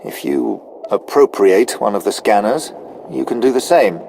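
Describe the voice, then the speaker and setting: An actor playing a deferential, anxious non-human military officer in a video game, formal and precise. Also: male voice, lowish voice, lively intonation, a little slow, gravelly, a little mellow, slight background noise. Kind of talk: scripted video game dialogue.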